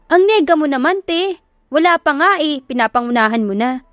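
Woman acting out sassy young female best friend, raised pitch, emphatic, teasing, encouraging, audio romance, dry sound. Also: expressive, normal speed, a bit bright, dry recording, soft background music. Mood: teasing exasperation. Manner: slangy, emphatic, teasing pep talk.

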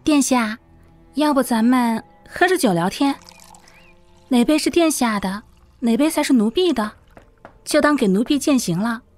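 An actress playing a frail, clever young maid in an audio drama, a devoted yet scheming servant. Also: female voice, high-pitched, animated, moderate pace, pauses often, a little mellow, soft background music. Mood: wistful, composed.

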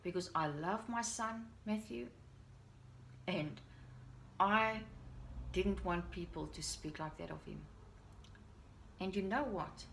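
A woman's voice: fairly low pitch, slow pace, gravelly, long pauses, slight background noise, rough recording. Earnest middle-aged woman with a Dutch accent. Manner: earnest, heartfelt, confiding. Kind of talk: personal talk to camera. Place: living room at home. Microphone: camera on a stand at a short distance.

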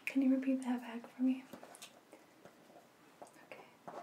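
Young woman, soft ASMR whisper, unhurried, even tone, soothing examiner manner, roomy sound. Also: high voice, recorded from a distance, a little hiss or hum. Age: 20s. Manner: soft, slow, soothing, reassuring. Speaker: ASMR performer acting as a medical examiner. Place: small plain indoor room.

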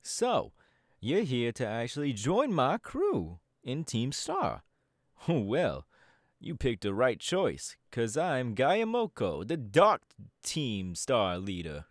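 A cocky young DJ gang boss, voiced by a man, bragging straight at the listener in an audio roleplay. His voice bounces all over the place in pitch, and the recording is dry and close with no room sound. He talks with a relaxed, swaggering confidence. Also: takes it slowly, no room sound. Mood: boastful. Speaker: Team Star leader in a roleplay.

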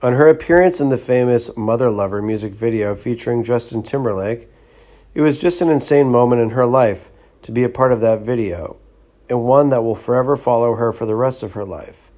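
A man narrating a scripted biography, reading facts in a steady, list-like way. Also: medium pitch, fairly quick.

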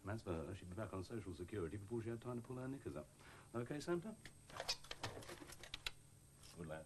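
A male actor as a coolly threatening husband in a drama, sarcastic and controlled. The voice is low and fast, over faint noise. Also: animated, close to an everyday voice. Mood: quietly threatening. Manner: measured sarcasm, menacing politeness. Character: coolly menacing husband making a calm threat.

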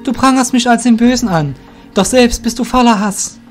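A man puts on a proud young male rogue in a fantasy audio drama, pitched well up. He sounds earnest, principled and firm as he speaks up for himself. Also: moderate pace, faint music behind. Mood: firm, indignant. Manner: firm, friendly, principled, forthright.

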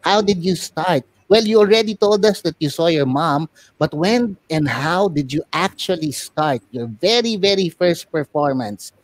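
A man's voice: fairly high pitch, lively intonation, moderate pace, close to an everyday voice. A man voicing a chatty, curious boy puppet who hosts a casual interview show.

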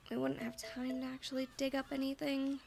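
High-voiced woman musing through a game. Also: completely flat delivery, brisk, creaky, a little mellow, slight background noise. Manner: musing aloud to herself. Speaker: streamer playing a farming game. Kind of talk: casual let's play commentary. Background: game music.

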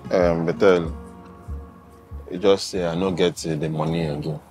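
A man's voice: deep voice, fairly even intonation, normal speed, a little mellow, soft background music, close to an everyday voice. An actor with a Nigerian Pidgin accent playing a hesitant man explaining himself in a film drama.

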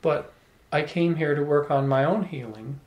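A middle-aged man telling an animated, playful story to a small audience, holding a handheld microphone. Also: a little high, moderate pace. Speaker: salon speaker. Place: room with small live audience. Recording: echoey room.

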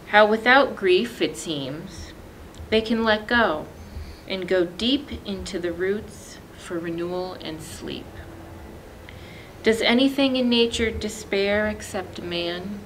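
A woman in her thirties reading literature aloud as a librarian, with measured, deliberate phrasing and pauses. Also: mid-range voice, a bit bright, slight background noise, rough recording. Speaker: librarian presenting a poetry reading. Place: indoor library, seated among bookshelves.

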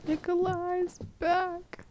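A woman's voice: extremely high pitch, flat delivery, very slow, slight background noise. A young woman reacting casually as she plays a video game, close on a desk microphone in a small room. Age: young adult. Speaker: streamer playing a video game. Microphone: desk microphone close in front. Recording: loud game audio behind the voice.

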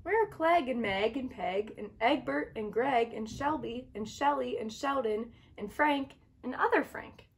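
A young woman reading a children's picture book aloud at home in an expressive, clearly phrased way. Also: fairly high pitch, unhurried, few pauses. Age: young adult. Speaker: story-time reader. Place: small living room at home, indoors.

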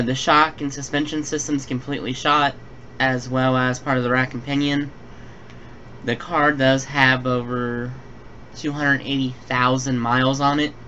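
A man's voice: medium pitch, a bit bright. A young man hosting a gaming channel, talking through a build tutorial and then a casual personal update with many fillers, recorded at home. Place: home room. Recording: dull lo-fi home recording with faint hiss.

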